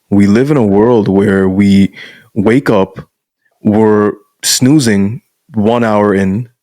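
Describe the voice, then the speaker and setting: Blunt, lowish-voiced man talking frankly. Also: a little mellow, no echo. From Canada. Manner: frank, blunt, peppers speech with "bro". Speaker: guest masculinity coach. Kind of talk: casual podcast conversation.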